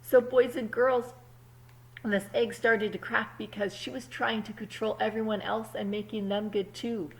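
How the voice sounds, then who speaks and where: A woman's voice: medium pitch, little pitch movement, unhurried, gravelly, poor audio. A woman reading a picture book aloud to young children, speaking to them directly in an instructive, teacherly way. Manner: instructive, addresses children directly, checking in with questions.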